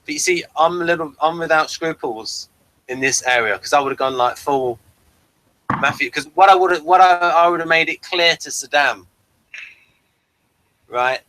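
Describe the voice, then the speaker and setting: A man's voice: mid-range voice, bright, forceful tone, low-quality recording. A man in casual, opinionated discussion, blunt and emphatic, often repeating and restarting his phrases. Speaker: podcast reviewer.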